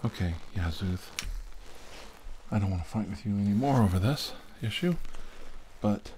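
A man doing an ASMR roleplay as a calm, firm doctor, speaking deliberately and often dropping toward a whisper. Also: on the low side, rough recording. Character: calm, firm male doctor questioning a possessed patient. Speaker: ASMR performer playing a doctor. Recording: clear background noise.

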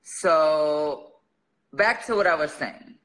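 Bright, slow-talking American man livestreaming. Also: on the high side, rough recording. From Chicago, United States. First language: English. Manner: switches between English and Spanish, sassy, slangy. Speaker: livestream host. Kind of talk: casual livestream chat with viewers.

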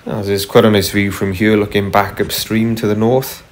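A man narrating casually as he paddles a kayak on a river, unhurried, with frequent ums and uhs. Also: fairly low pitch, flat delivery, slight background noise. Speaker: kayaker vlogger. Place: kayak on a tidal river outdoors. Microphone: camera mounted on the kayak.

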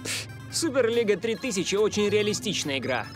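A man's voice: high-pitched, sing-song, fairly quick, over music. An actor voicing an eager, good-natured young male soccer player in a cartoon dub, with an enthusiastic manner.

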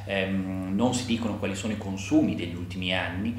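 A man, giving an interview statement in a halting, deliberate way. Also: low-pitched. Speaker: local administrator. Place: small office indoors. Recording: roomy office, faint background noise.

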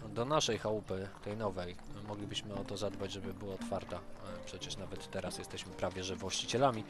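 A man with a fairly low voice plays a tabletop role-playing game. He improvises hesitantly, thinking aloud about his character's moves. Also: little pitch movement, brisk.

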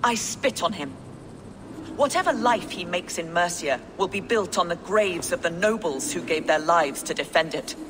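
An actress as a captive queen in a video game, proud, bitter and afraid. Her delivery is emotional and declamatory, swinging widely in pitch. There is clear noise behind her. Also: lively intonation, normal speed, natural voice. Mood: scornful. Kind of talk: video game dramatic acting.

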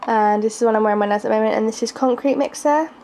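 A young woman talks through her makeup collection in a slightly raised voice, groggy and gravelly, stumbling over words with lots of ums. She films from close by in her bedroom, and the home camera audio carries a steady hiss. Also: fairly high pitch, very fast. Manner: chatty, hesitant, frequent ums and little laughs. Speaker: beauty vlogger. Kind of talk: casual makeup collection tour. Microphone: handheld camera filming from close. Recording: noisy home video recording.

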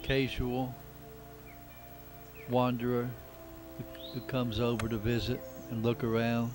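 A man narrating a casual travelogue in an unhurried, reflective, plain-spoken way. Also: mid-range voice, flat delivery, music in the background. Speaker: amateur travel videographer. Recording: noisy camcorder sound with outdoor ambience.

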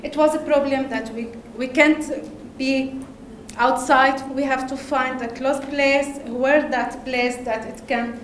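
Slow Arabic-accented woman in an echoey hall. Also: sits high, steady tone, slight background noise. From Lebanon. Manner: halting, with hesitations and laughs. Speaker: conference speaker. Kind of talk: presentation talk. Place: large darkened conference hall. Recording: echoey hall, faint ambience.

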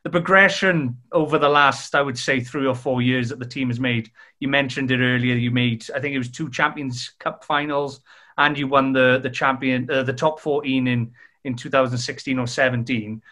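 A man's voice: mid-range voice, fairly even intonation, moderate pace, bright, forceful tone. A young man hosting a podcast, asking long, chatty questions over a video call. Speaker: podcast host. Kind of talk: interview questions. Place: room at home, indoors.

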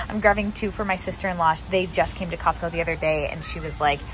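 A young American woman with a slightly low voice talks in a casual, chatty vlog style. She addresses viewers informally while walking through a big warehouse store, with clear background noise around her. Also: fairly quick, fairly bright tone, poor audio. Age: young adult. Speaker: vlogger. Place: large indoor warehouse store.

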